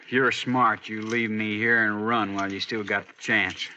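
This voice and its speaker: An actor playing a defiant, menacing, cocksure outlaw prisoner in an old-time radio western. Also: male voice, lowish voice, level tone, normal speed, pushed and bright. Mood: taunting, cocky. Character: defiant, taunting handcuffed male outlaw prisoner. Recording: old radio recording, faint hiss, muffled.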